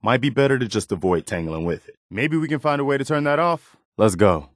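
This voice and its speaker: An actor playing an earnest, steadfast militia soldier companion in a post-apocalyptic video game, speaking sincerely and in a measured way. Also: male voice, mid-range voice, lively intonation, no room sound, clean studio sound, close to an everyday voice. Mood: steady, watchful. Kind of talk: video game companion dialogue, naturalistic acting.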